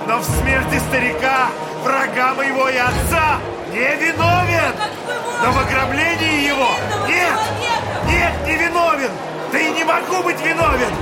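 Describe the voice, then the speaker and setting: An actor playing a passionate, anguished accused man who declaims solemnly and emotionally in an audio drama. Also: very high voice. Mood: passionate, desperate. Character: Dmitry Karamazov, passionate anguished accused man pleading innocence. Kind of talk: theatrical audio drama acting.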